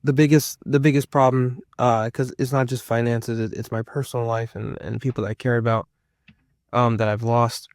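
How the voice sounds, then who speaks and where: A man's voice: medium pitch, level tone, moderate pace, no room sound, studio quality. A man of about thirty chatting casually to his viewers as a vlogger, rambling and repeating himself for emphasis. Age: around 30. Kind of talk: casual personal chat to viewers.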